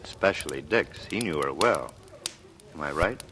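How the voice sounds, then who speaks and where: An extremely low male voice plays a suave, needling friend. The delivery is languid, in 1940s radio drama style. Clear noise marks the old recording. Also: lively intonation, moderate pace. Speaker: supporting actor. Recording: noisy vintage radio recording.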